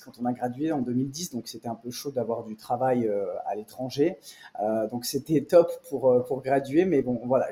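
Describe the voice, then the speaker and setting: A man in his thirties from Nice, a podcast guest explaining investing in a lively, casual way with many filler sounds, calling in remotely. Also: medium pitch. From France (Nice). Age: 30s. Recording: remote call, faint music behind.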